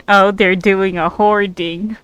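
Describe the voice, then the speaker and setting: A woman streamer reacting to a video game as they play. The voice is mid-pitched and slow, with casual, offhand remarks in short pieces. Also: fairly even intonation, fairly bright tone, dull audio. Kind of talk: casual playthrough commentary.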